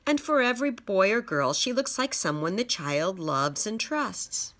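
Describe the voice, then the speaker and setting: A gentle storybook mother reassuring her young child , as a woman reader plays her. The voice is bright and lively, patient and explanatory in its phrasing. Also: mid-range voice, a little slow, pitched above the performer's own voice.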